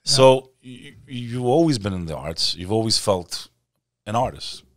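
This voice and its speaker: Low-voiced male host, buddy-style and animated, dry close mic. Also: unhurried, no echo. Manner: chummy, fired-up, calls the guest "brother" and "man". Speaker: podcast host. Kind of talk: casual interview chat.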